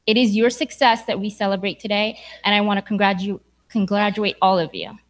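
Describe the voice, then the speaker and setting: A woman's voice: mid-range voice, steady tone, brisk, heavy background noise. A young woman from Sudan giving an earnest, encouraging speech to graduates in a church hall. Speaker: graduation speaker.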